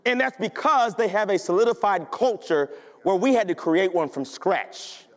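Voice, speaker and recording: Male pastor, very high voice, punchy conversational preaching, church with long echo. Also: lively intonation, moderate pace. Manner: emphatic, conversational preaching with rhetorical questions. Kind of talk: sermon. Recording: long hall reverb.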